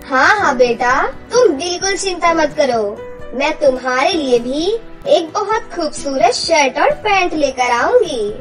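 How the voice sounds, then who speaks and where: A woman's voice: high voice, lively intonation, measured pace, fairly bright tone, far from the mic, soft background music. A woman voicing a devoted, hard-working mother in a cartoon story, reassuring and motherly. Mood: tender, reassuring. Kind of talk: cartoon voice acting.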